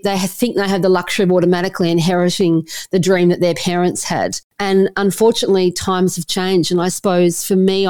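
A woman joins an interview over a remote call, her voice a little husky as she recovers from an illness. She answers in long, earnest sentences full of small restarts. Her voice sits low and sounds dry, with no room echo. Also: a little mellow. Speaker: interview guest, property editor.

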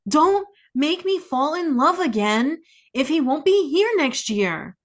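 A woman's voice: high voice, sing-song, slow pace. A woman co-hosting a podcast, giving playful, theatrical readings in an exaggerated, melodramatic way. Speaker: podcast co-host. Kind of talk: playful, theatrical dramatic reading of song lyrics.

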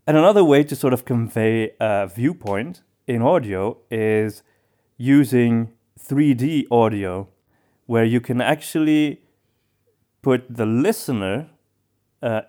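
A man's voice: mid-range voice, warm tone. A man co-hosting a podcast, chatting casually in a thoughtful way with hesitant phrasing. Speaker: podcast co-host. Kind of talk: casual discussion.

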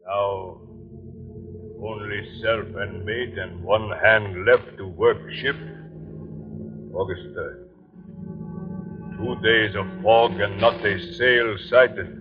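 An actor playing a weary, haunted, devout ship's captain, solemnly reading his log in a halting 1930s radio horror style. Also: fairly low pitch, steady tone, over music. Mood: grave, uneasy. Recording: muffled old 1930s radio broadcast.

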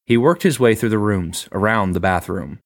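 A man reading a short story aloud in plain, even narration. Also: lowish voice, normal speed, no room sound, studio quality. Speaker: story reader.

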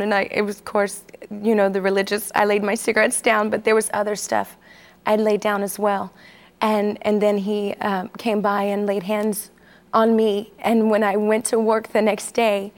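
A woman in her forties from Texas, telling her personal story candidly and hesitantly as an interview guest. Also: medium pitch. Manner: candid, hesitant, with many fillers.

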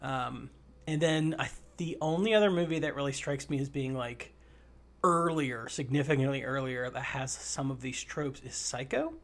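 A man's voice: medium pitch, moderate pace, a bit bright. A man co-hosting a podcast, chatting casually about a film, with fillers and casual swearing. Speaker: podcast co-host.

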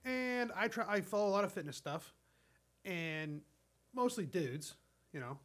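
A man's voice: high voice, lively intonation, measured pace, fairly bright tone. A man hosting a crude comedy podcast, chatting casually in a rambling, repetitive way and swearing freely. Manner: rambling, repeats himself, swears. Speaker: podcast host.

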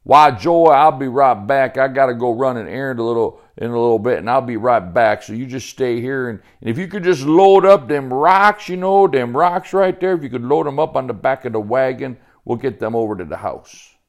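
A man's voice: mid-range voice, brisk, hardly any pauses, voice pushed up, natural voice. A man voicing a boastful, fatherly woodsman in a story, with folksy turns of phrase and emphatic repetition. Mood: brisk instructing. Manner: folksy drawl phrases, repeats himself emphatically. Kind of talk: storytelling character voice.

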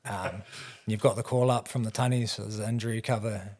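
A New Zealand man hosting a casual podcast. His voice is fairly low and husky. He steers the chat in a blokey, teasing way and swears freely. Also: fairly even intonation, fairly quick, darkish tone. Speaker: podcast host.

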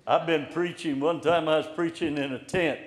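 An older man preaching an informal, encouraging sermon in a slow, plainspoken way. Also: on the high side, steady tone. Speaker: pastor. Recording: echoey room, hall reverb.

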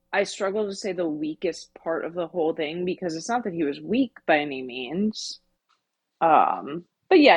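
A woman co-hosting a podcast, talking through a story casually in a measured, reflective way. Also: fairly low pitch, dry recording. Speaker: podcast co-host. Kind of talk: casual book discussion.

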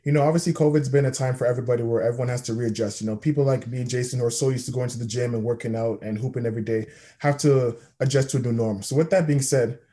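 A man co-hosting a podcast, setting up questions in a quick, conversational way. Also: mid-range voice, steady tone, dark tone. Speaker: podcast co-host. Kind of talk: casual interview questions.

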